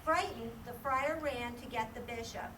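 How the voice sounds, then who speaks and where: A woman's voice: a little high, moderate pace. A woman narrating and presenting a children's puppet show as a storyteller. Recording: echoey, distant, noisy live recording.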